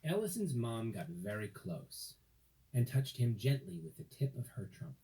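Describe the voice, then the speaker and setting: Guy reading a kids story, slow and mellow, super expressive, big swings in pitch. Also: slight background noise, rough recording. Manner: dramatic, deliberate phrasing with emphasis. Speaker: storybook narrator. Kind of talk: expressive scripted children's story narration.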